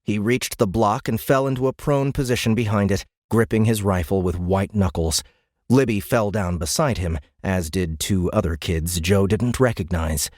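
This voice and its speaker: A man with a lowish voice reads dramatic science fiction prose in measured, grave phrases. The recording is very dry and close, like a studio booth. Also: a little mellow, no room sound. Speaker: audiobook narrator. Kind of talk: dramatic scripted narration of military science fiction.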